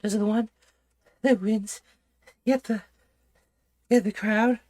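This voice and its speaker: Storybook read-aloud: man playing a polite young girl, earnest and questioning, pitched up. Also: steady tone, slow, a little mellow. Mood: curious.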